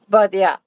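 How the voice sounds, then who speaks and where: Slow, hesitant woman with a Polish accent. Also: medium pitch, darkish tone, no echo, muffled recording. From Poland. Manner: hesitant, reads viewer comments aloud. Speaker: livestream co-host. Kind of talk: casual live chat.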